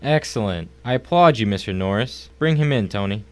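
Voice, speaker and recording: Man playing a smug low-voiced villain, crisp. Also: lively intonation, brisk, close to an everyday voice. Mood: gloating. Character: smug, gloating rich crime boss, menacingly courteous. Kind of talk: audio drama acting.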